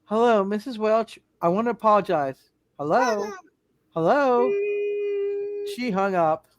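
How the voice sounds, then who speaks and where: A concerned, patient mother in a radio play, acted by a woman. Her delivery is lively and gently hesitant, recorded dry and close. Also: mid-range voice, measured pace, dry recording, close to an everyday voice. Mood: worried. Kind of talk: live comedic radio play reading.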